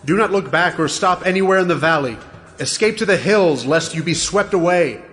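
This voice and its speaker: A man's voice: sits high, normal speed, a bit bright, voice pushed up. A man voicing an urgent, commanding angel in a dramatized scripture reading. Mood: urgent warning. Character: urgent male angel warning people to flee. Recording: long reverberant echo, music behind.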